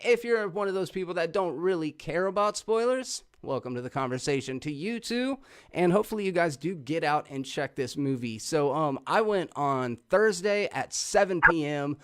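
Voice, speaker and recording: Casual, higher-voiced man hosting. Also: expressive, normal speed, a bit bright, smooth. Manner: informal, swearing. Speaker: host of a movie review show. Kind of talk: casual chatty movie discussion.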